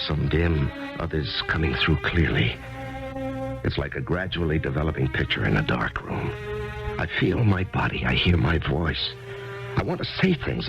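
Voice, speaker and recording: An actor playing a grieving, widowed author who narrates and talks in a reflective, halting way, in a 1970s radio drama. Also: male voice, very deep voice, heavily acted. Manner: reflective, halting, stammers when eager. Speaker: narrator and lead character. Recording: dull lo-fi archival radio with faint music.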